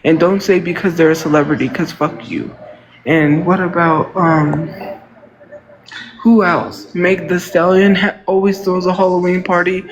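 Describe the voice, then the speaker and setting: A man's voice: high-pitched, steady tone, measured pace, poor audio. A man in his thirties with a congested nose, rambling and venting in casual livestream chat. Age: 30s. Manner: rambling, venting, repeats himself.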